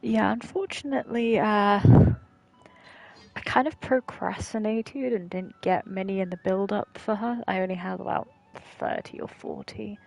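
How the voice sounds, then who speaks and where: A woman live streamer chatting casually while gaming, halting and easygoing. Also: mid-range voice, fairly even intonation, gravelly, darkish tone, low-quality recording. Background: game music.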